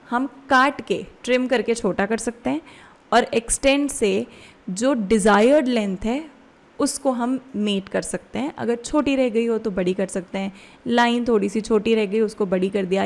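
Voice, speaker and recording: A woman with an Indian accent giving a step-by-step software tutorial as an instructor, explaining casually and often checking in with questions. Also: a little high.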